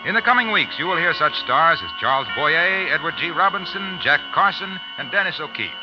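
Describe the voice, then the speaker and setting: Lively, high-pitched American radio announcer man. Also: fairly quick, over music. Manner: polished, emphatic delivery. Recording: dry, muffled old radio broadcast.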